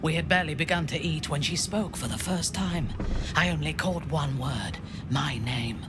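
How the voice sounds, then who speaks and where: A woman voicing a hardened warrior recounting her captivity in a low, half-whispered voice. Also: moderate pace, close to an everyday voice. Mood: grim. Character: hardened warrior recalling captivity, grim and controlled. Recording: game scene with loud ambient noise.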